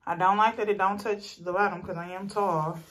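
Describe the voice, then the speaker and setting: A woman in her thirties chatting casually to camera in a vlog from a small bedroom, relaxed and talkative. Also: medium pitch, fairly quick. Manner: relaxed, chatty, uses lots of "y'all". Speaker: vlogger.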